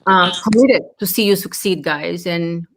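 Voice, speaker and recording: A woman's voice: on the low side, measured pace, noisy background. A woman from the Dominican Republic moderating a panel discussion as host, speaking in an encouraging, supportive manner.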